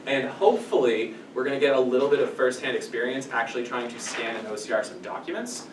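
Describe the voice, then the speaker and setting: An echoey, distant recording of a man presenting an informal workshop in a small meeting room. He is in his thirties and speaks at a middling pitch in a conversational, explanatory style. Noticeable noise sits behind his voice. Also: lively intonation, fast talker. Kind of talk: informal introductory lecture. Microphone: distant camera microphone. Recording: echoey room from afar, noisy.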